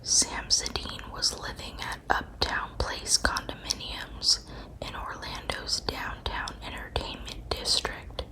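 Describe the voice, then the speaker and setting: A woman narrating a scripted true crime story in a breathy, unvoiced ASMR whisper, with measured, deliberate phrasing. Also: low-quality recording.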